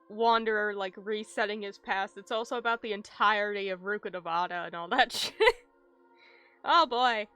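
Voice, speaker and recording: A woman livestreaming a game with casual, expressive commentary full of swearing and exaggerated dismay. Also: a little high, smooth, dry recording. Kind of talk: casual gaming commentary with read-aloud game text. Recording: game music faintly behind. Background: video game music.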